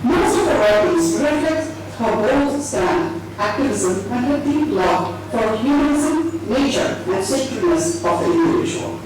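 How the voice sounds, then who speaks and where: A woman's voice: on the high side, expressive, measured pace, hardly any pauses, heavy background noise. A woman formally reads a prepared introduction as presenter at a live event. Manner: formal, reading from a prepared text. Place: echoey event venue. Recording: very echoey, distant, loud music behind.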